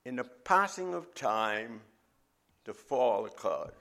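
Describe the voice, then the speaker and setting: Bahamian man, middle-aged preacher, gravelly voice, slow emphatic sermon delivery. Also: mid-range voice, expressive, pushed and bright. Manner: measured, emphatic preaching with drawn-out phrases. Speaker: visiting preacher.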